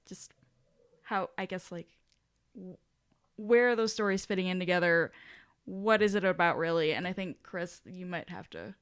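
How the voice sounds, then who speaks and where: A woman's voice: fairly low pitch, fairly bright tone, clear, resonant tone. A woman co-hosting a podcast in casual chat, wry, sweary and a bit rambling. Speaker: podcast co-host.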